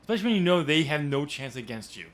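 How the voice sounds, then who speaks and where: A man hosting a podcast in casual, unscripted discussion, talking in a halting, rambling way as he thinks aloud. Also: fairly high pitch, sing-song, a bit bright. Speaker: podcast host and amateur novelist.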